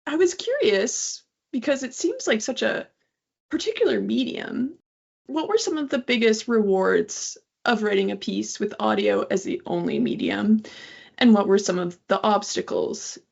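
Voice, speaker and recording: Woman interviewer, casual n chatty, raspy with lots of fry, slightly dull recording. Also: mid-range voice, animated, measured pace, darkish tone. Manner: informal, chatty, hedging with "like". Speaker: interviewer, co-host.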